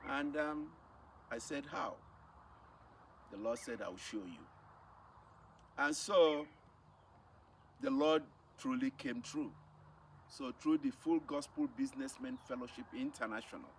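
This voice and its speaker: A middle-aged man with a Nigerian accent tells a personal testimony as a missionary, speaking emphatically and repeating phrases for effect. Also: mid-range voice. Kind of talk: personal testimony, storytelling to camera. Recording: distant mic with faint background noise.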